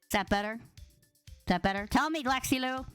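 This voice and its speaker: A woman hosting a casual live-streamed podcast, bubbly and animated with a big, boomy voice. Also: normal speed, clear, resonant tone. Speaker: podcast host. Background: faint background music.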